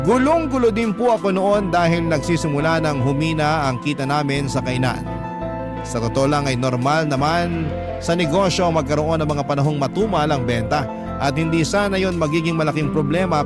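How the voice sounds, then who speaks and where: Casting: male radio storyteller, slightly high voice, earnest confessional letter reading over prominent music. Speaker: narrator of a listener's letter. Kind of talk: dramatic radio storytelling, scripted narration. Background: loud music.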